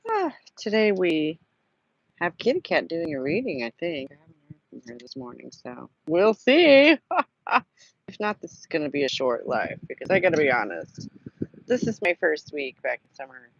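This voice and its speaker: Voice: mid-range voice, very animated, normal speed, creaky. A host running a casual live book-club chat, rambling and self-deprecating, nursing a sore throat. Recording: dull lo-fi sound with a fan humming.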